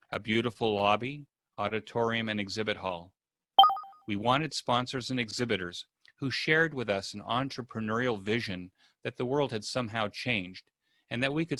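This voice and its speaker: A man giving a prepared welcome address as an event host, with formal, deliberate phrasing. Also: on the low side, bright, forceful tone.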